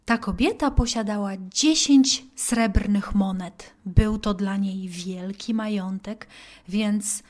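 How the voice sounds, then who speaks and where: A woman narrating a children's Bible story expressively, with simple, deliberate phrasing and dramatic pauses. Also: mid-range voice, darkish tone.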